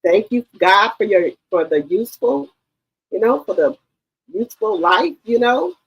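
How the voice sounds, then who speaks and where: A woman's voice: mid-range voice, animated, a little slow, vocal fry, husky, dull audio. A woman in her fifties hosting a gossip livestream, chatting straight to her viewers. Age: 50s. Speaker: livestream host.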